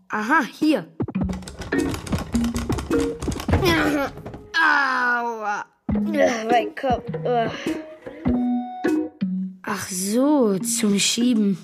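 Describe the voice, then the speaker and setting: A young performer playing a bewildered, polite and curious schoolboy in a children's radio play, hesitant and natural. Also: mid-range voice. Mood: amazed.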